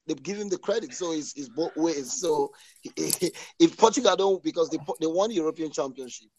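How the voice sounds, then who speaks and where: Halting man debating football. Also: a little high, lively intonation, a little mellow, low-quality recording. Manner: halting, restarts words, frequent agreeing "exactly". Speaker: podcast panelist. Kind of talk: casual sports debate.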